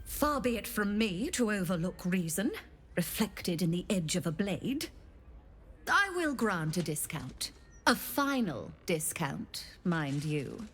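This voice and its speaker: An actress playing a sly infernal fixer who runs an occult shop in a video game, speaking in an arch, theatrical, deliberate way. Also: female voice, lowish voice, sing-song, a bit bright, husky, heavily acted. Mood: businesslike, haughty. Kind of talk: video game character acting.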